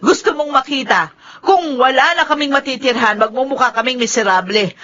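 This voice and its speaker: A woman's voice: high voice, a little fast, fairly bright tone. A woman acting a resolute, bitter woman in a Filipino radio drama, with a Filipino accent. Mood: bitter, accusing. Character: resolute, bitter woman confronting a family rival. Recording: dull radio broadcast, faint noise.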